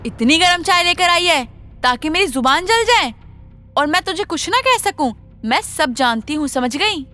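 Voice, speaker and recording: Woman acting a high-pitched, cruel scolding mother-in-law. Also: animated, moderate pace, bright, forceful tone, soft background music, heavily acted. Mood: scornful, scolding. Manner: scolding, bossy, sarcastic. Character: cruel scheming older mother-in-law, taunting her daughter-in-law. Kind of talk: Hindi moral story voice acting.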